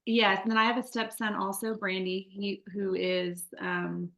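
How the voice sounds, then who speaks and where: A woman's voice: medium pitch, flat delivery, a little slow, a bit bright, reverberant. A woman in her forties from the Midwest, sharing her life story as an interview guest in a candid, chatty way with many fillers and restarts. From Midwest United States. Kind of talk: conversational testimony.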